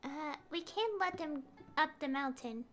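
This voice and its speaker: A woman's voice: sits high, animated, unhurried. A woman game streamer giving casual gameplay commentary and reading dialogue choices aloud. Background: game music.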